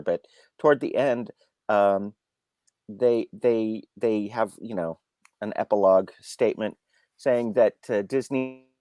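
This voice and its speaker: A man reviewing a film in unscripted discussion, halting and repeating himself as he searches for words. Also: on the low side, darkish tone, no echo.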